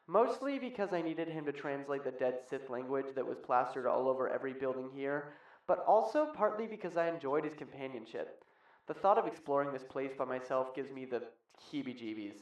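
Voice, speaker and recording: An actor playing an uneasy, debt-ridden treasure hunter and lapsed Jedi, confiding a scripted sci-fi horror audio log in a conversational way. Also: male voice, medium pitch, close to an everyday voice. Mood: uneasy, downcast. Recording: muffled, roomy, very noisy log recording.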